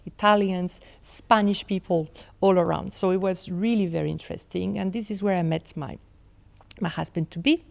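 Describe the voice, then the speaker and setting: French woman with a gravelly low voice. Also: steady tone. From France. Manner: measured, with many fillers and restarts. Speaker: law professor, guest. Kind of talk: interview. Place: studio set with armchairs. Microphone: desk mic on boom arm.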